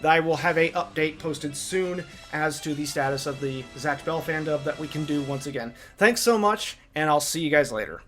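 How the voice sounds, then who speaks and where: A man's voice: on the high side, normal speed, few pauses, a bit bright, faint music behind. A man hosting a video essay, giving opinionated commentary in an emphatic, enthusiastic fan's delivery.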